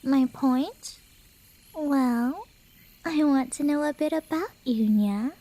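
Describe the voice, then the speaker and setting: Girl voice actor doing a playful cheshire cat girl, slow asmr roleplay, high voice, teasing and slangy. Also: mellow, poor audio. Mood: musing, inquisitive.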